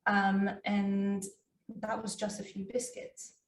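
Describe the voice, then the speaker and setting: A woman's voice: medium pitch, monotone, takes it slowly. A woman co-hosting a casual health discussion, speaking in a conversational, reflective and slightly hesitant way. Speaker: doctor co-hosting a health talk. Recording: echoey room, distant mic.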